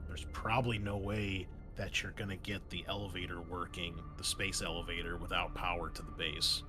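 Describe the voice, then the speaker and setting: A man's voice: deep voice, little pitch movement, moderate pace, over music. A man working as game master, explaining a tabletop roleplaying scenario in an improvised, explanatory way.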